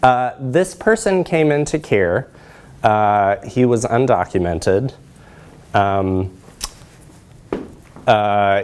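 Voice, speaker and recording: Man, middle-aged, lowish voice, measured lecturer, explanatory, pausing often. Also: darkish tone. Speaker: presenter, social work researcher. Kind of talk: academic lecture with slides. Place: lecture room, indoors.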